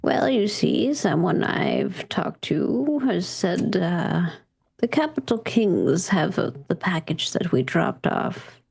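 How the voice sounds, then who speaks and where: A woman's voice: fairly low pitch, huge pitch swings, slow pace, creaky, darkish tone, breathy edge. A woman playing a tabletop roleplaying game, moving casually between questions and improvised in-character talk.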